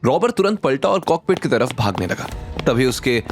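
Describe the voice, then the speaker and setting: A man's voice: medium pitch, very animated, moderate pace, soft background music. A man narrating a dramatic scripted audio series as its storyteller.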